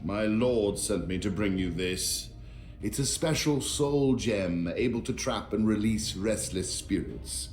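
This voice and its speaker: An actor playing a polite, sly servant in a video game, courteous and dryly understated. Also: male voice, on the low side, animated, takes it slowly, darkish tone. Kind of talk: video game character acting. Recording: roomy sound with faint music.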